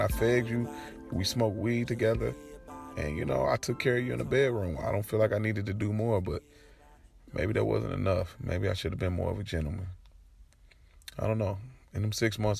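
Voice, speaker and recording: A man playing a streetwise young man just out of jail, telling his story conversationally in casual slang, with a gravelly voice, in an audio drama. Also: lowish voice, a little mellow, recorded from a distance. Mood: rueful. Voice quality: gravelly, creaky.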